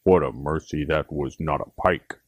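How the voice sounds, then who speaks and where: A man voicing a fussy, proper little gentleman frog from a storybook, with gravelly, husky speech and formal, genteel phrasing. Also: a bass voice, moderate pace, no room sound, voice pushed down. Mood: relieved, resigned.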